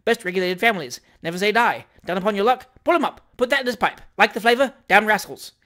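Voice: medium pitch, expressive, quick pace, fairly bright tone, lightly acted. A narrator voicing a brash, thin young man who rattles off clipped, broken sentences with great volubility. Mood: breezy, brisk. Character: brash, voluble, thin young gentleman stranger, breezy chancer.

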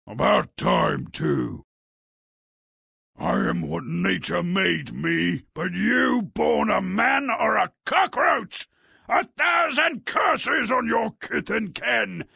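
An actor playing a huge, brutish man-eating giant, gravelly and raspy, in a cartoonish game. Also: high-pitched, lively intonation, takes it slowly, bright, forceful tone, dry recording, clean studio sound, heavily acted. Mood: enraged. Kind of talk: cartoonish video game acting.

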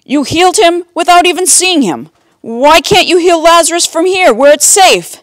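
Lady doing a worried guy pleading in a kids bible story, urgent, animated. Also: extremely high pitch, normal speed, no echo, pitched above the performer's own voice. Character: anxious male followers and messenger in Bible story.

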